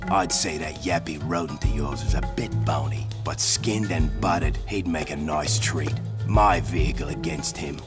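An actor playing a big, gruff, sneering desert driver in a video game, mean and taunting. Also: male voice, rumbling low, moderate pace, few pauses. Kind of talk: animated video game acting. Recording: music behind.

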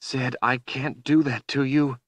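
A man's voice: deep voice, moderate pace, bright, forceful tone, no room sound. A man voicing an anxious, caring woman in a fantasy audiobook, pleading and urgent. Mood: horrified.

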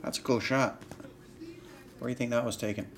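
A man's voice: mid-range voice, steady tone, fairly quick, slight background noise. A man casually talking through a live trading card box break, reading out cards as he opens packs. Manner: casual, chatty, reads out cards while opening packs. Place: indoor table set up for card streaming.

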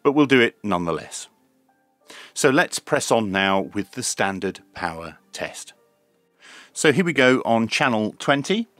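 A man's voice: medium pitch, big pitch swings, measured pace, fairly bright tone, no room sound, faint music behind. A man giving an informal hands-on product review, explaining things methodically, step by step. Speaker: radio reviewer, channel host. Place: small indoor room at a desk.

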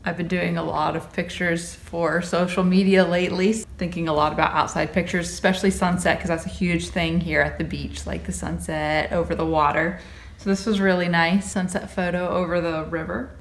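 A woman's voice: fairly low pitch, flat delivery, moderate pace. A woman in her thirties chatting in a friendly, casual way to the camera in her home kitchen. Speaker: channel co-host. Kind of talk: casual vlog chat. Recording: roomy kitchen with faint hum.

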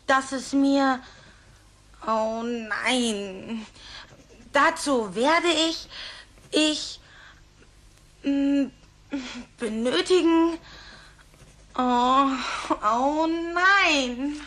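A woman's voice: high voice, fairly bright tone, full character voice. A woman voicing a cheeky, evasive ten-year-old schoolboy in a German cartoon dub. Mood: reluctant, glum.

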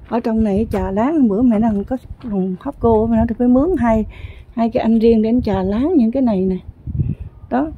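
A woman's voice: on the high side, dull audio, a little hiss or hum. Chatty woman touring a building site. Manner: chatty, rambling, many fillers. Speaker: vlogger touring a construction site. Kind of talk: casual walking commentary. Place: outdoor construction site.

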